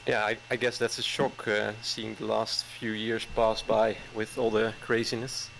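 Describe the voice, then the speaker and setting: A man around forty, a caller chatting hesitantly with many fillers and soft chuckles. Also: on the low side, flat delivery, a little slow, creaky, slight background noise, rough recording. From Netherlands. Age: 40s. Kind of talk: casual chat.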